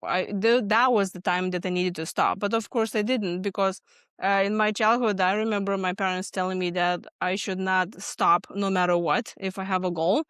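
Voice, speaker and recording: A woman from Lithuania with a Lithuanian accent, a guest speaking earnestly about stress and often pausing with ums and restarts. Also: mid-range voice, steady tone, a bit bright, smooth, no room sound, studio quality. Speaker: podcast guest, stress management expert. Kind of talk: conversational interview answers.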